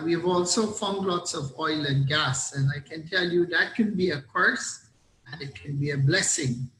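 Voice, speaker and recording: A middle-aged man from Guyana gives a reflective forum speech over a video call, deliberate and pausing. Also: on the high side, fairly bright tone, reverberant, a little hiss or hum, poor audio. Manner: reflective, deliberate, with fillers and pauses. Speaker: business executive speaking at a forum.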